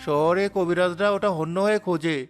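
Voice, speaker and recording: A man acts a devoted poor young villager in a cartoon tale. His voice is high for a man and earnestly pleading, resolute underneath. Faint music accompanies it. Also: brisk, natural voice. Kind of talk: cartoon drama dialogue.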